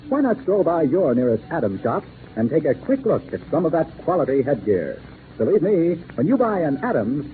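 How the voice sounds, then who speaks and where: High-pitched lively American male radio announcer. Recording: narrow-band 1940s radio recording, faint noise.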